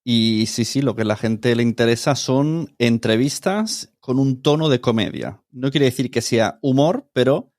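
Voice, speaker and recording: A man's voice: medium pitch, animated, unhurried, darkish tone, no room sound. Spanish-accented man, dry close sound. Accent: Spain. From Spain. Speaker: podcaster, interview guest. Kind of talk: casual interview answers.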